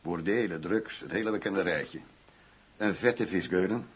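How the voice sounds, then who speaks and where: An actor playing a hard-bitten, cynical undercover police commissioner in a Dutch radio play, terse and slightly husky. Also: male voice, sits low, unhurried. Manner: terse, dry. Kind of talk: Dutch radio drama dialogue.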